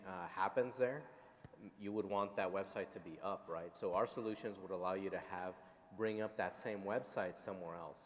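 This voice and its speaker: A man from El Paso, Texas, with a somewhat low voice, introducing himself on a panel. He talks hesitantly and fills his pauses. The hall adds a long reverb and faint noise. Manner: hesitant, with many fillers. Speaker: panelist, engineering manager. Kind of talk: live panel self-introduction.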